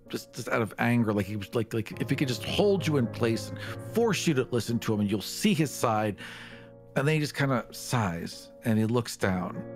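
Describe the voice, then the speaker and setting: A man improvising narration of his character's actions and feelings as a player in a tabletop game. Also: fairly low pitch.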